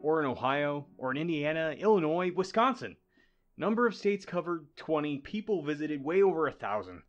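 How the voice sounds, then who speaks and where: A man's voice: a little high, very animated, moderate pace, highs cut off. A man acting in old-time radio style as an earnest, formal assistant to a detective. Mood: discouraged.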